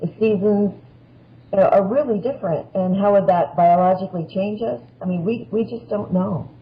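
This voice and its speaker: A man with a distinctly high voice explains things as a guest on a podcast. His delivery is conversational and unscripted, on a slightly dull recording. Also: normal speed, slight background noise. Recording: dull, band-limited audio.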